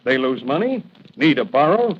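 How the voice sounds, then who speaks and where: A man's voice: on the high side, sing-song, moderate pace. An actor playing a callous, menacing loan shark with sneering, slangy tough-guy talk in 1940s radio style. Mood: sly, cynical. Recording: muffled, hissy vintage radio recording.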